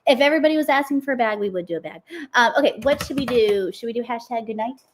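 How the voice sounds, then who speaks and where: Chatty woman live-streaming, high voice. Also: animated, fast talker, slight background noise. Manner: upbeat, chatty, many fillers. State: voice worn from a cold. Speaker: co-host of a live product demo. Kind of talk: casual live-stream chat.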